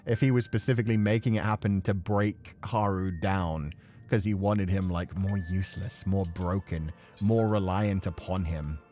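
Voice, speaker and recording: A man's voice: deep voice, fairly even intonation, moderate pace, dark tone, faint music behind. A man giving casual, playful live commentary on a visual novel as a streamer, chatty and mildly profane. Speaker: game streamer.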